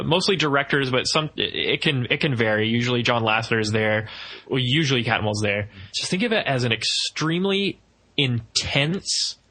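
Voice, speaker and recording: A man with a bright, crisp-edged voice hosts a podcast. His pitch sits in a middle male range, and he moves between conversational explanation and reading aloud. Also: poor audio. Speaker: podcast host.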